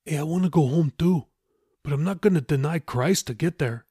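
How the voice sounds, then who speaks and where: A man's voice: fairly high pitch, brisk, dark tone, breathy edge, dry recording, studio quality, voice pushed up. A man voicing a reformed tough young male sailor in an audiobook, steadfast and earnest, reasoning in slangy speech. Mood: firm, reasoning.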